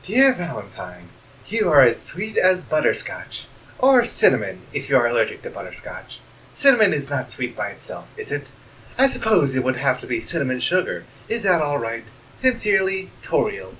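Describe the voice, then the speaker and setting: Man playing a gentle pun-loving goat mother. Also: mid-range voice, wildly swooping pitch, distant mic, a little hiss or hum, low-quality recording. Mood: sweet. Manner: slow, gentle and proper, fond of corny jokes.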